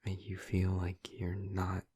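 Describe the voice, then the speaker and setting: A man playing a gentle, awkward, affectionate vampire in an intimate audio roleplay, speaking softly and breathily with hesitant, halting tenderness, close to the mic. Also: sits low, completely flat delivery, no echo. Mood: awkward, sincere. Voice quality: soft, breathy, husky. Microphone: close, dry microphone.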